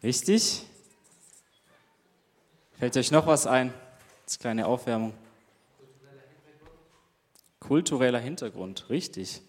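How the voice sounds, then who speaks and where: A man's voice: medium pitch, expressive, slow, long pauses. Young male group leader, casual and chatty, hall reverb on the voice. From Germany. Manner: casual, chatty, many fillers and rhetorical questions. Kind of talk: interactive talk to a youth group.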